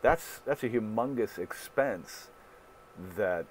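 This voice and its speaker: A man with a somewhat low voice co-hosts a podcast in relaxed, agreeable chat. He works through his points aloud. A faint hiss sits under him. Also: slow pace, poor audio. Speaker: podcast co-host.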